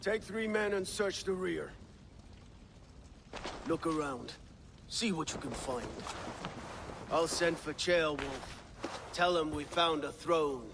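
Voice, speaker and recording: An actor playing a gruff Viking war leader in a game cutscene, terse and commanding. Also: male voice, on the high side, slow, pauses often, background noise. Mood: businesslike. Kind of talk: dramatic game cutscene acting.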